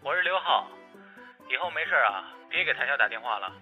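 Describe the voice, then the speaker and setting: A man's voice: mid-range voice, animated, a little fast, soft background music. A man playing a smug, spiteful love rival in an audio drama, taunting and needling through a telephone filter. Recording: muffled telephone-filtered sound.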